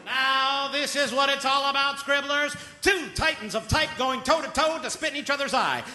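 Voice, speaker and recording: High, blaring fight announcer. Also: male voice, moderate pace, bright, forceful tone, heavily acted. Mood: grandiose, eager. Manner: grandiose, punchy delivery. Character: excitable old-time boxing match play-by-play announcer. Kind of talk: comic radio theater sports commentary. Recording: live theater stage, reverberant, music behind.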